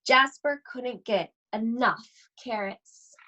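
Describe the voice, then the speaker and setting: Casting: female storybook reader, higher-pitched, unhurried, expressive and suspenseful delivery for young listeners. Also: lo-fi sound. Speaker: school library read-aloud host. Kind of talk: expressive children's storybook read-aloud.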